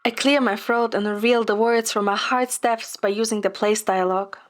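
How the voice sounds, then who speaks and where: A woman's voice: a little high, steady tone, normal speed. A woman reading scripted first-person story narration. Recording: music behind the voice. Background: music.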